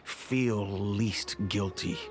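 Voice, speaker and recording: An actor playing a dignified middle-aged father in a video game, speaking in a measured, formal and regretful way. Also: lowish voice, over music. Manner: measured, deliberate, formal phrasing. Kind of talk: dramatic game dialogue, recounting the past.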